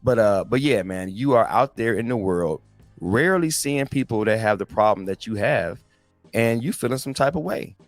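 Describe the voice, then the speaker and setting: Laid-back man in his forties, low voice. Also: animated, normal speed, no echo, faint music behind. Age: 40s. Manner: conversational, slangy, addresses listeners as guys. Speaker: podcast host. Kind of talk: casual motivational talk.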